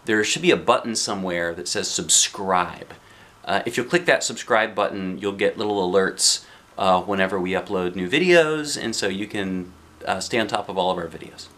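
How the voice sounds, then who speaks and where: Animated man reading a children's storybook. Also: lowish voice. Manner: playful, expressive, chatting with a child. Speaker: dad reading a picture book aloud.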